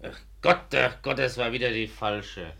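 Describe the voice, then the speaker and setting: An actor in a German radio play playing a dim, complaining gangster guard with a gruff manner. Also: male voice, mid-range voice, measured pace, bright, forceful tone. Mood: grumbling.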